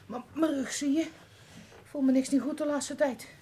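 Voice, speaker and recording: A woman's voice: high-pitched, fairly even intonation, moderate pace, mellow, close to an everyday voice. An actress playing a weary, suspicious middle-aged mother hardened by trouble, curt and grumbling in a radio drama. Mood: weary, complaining. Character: weary, suspicious, hard-bitten mother of a criminal. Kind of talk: Dutch radio play acting. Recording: clearly noisy radio drama recording.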